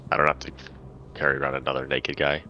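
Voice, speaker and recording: A man's voice: very deep voice, monotone, quick pace, pushed and bright. A man talking casually as a player in a tabletop roleplaying game, asking questions and stating his moves. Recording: slightly dull audio, faint background noise.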